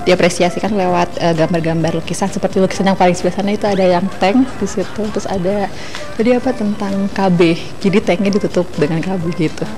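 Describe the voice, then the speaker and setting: A woman's voice: fairly low pitch, steady tone, fast. A woman visitor answering interview questions casually, hesitating and searching for words. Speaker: exhibition visitor.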